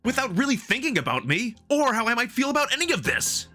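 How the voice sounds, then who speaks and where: Man acting a hurt, sharp-voiced human man. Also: very high-pitched, big pitch swings, fast talker, soft background music, voice pushed up, heavily acted. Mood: angry, hurt. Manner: blunt, swearing, confrontational. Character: hurt, sarcastic young human man, stubborn and indignant. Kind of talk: dramatic visual novel voice acting.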